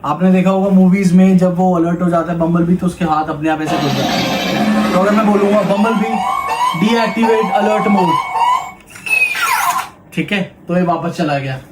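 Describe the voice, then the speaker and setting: Higher-pitched Indian man in an echoey room. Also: normal speed. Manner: explanatory, switches between Hindi and English. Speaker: toy shop presenter. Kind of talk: casual product demo. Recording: echoey room, background noise and clicking.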